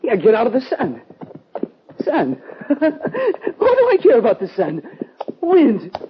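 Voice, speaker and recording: Delirious kid cowboy, high-pitched and rambling, vintage radio drama with dull, noisy sound. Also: male voice, wildly swooping pitch, heavily acted. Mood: delirious, agitated. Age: young adult. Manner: rambling, halting, rustic drawling speech. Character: delirious young cowboy, sun-struck and pleading. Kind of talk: 1950s radio western acting. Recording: old radio recording with hiss and clicks.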